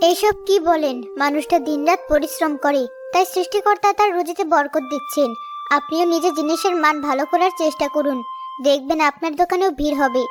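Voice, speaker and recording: A woman's voice: high voice, brisk, music in the background, lightly acted. A woman playing a sensible village wife and mother in a cartoon story. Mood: reproving, patient.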